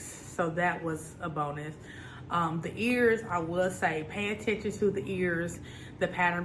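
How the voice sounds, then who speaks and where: Woman, hoarse after the flu, lowish voice, loose rambling chat, faint background hiss. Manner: rambling, relaxed, many ums. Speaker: crochet vlogger. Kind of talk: casual chat.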